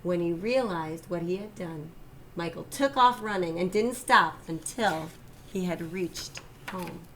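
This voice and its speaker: A woman reading a children's story aloud like a teacher, expressive and deliberate, stressing the key phrases. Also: on the low side, a little hiss or hum, rough recording. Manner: expressive, deliberate, emphasizes and repeats key lines. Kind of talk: children's read-aloud narration.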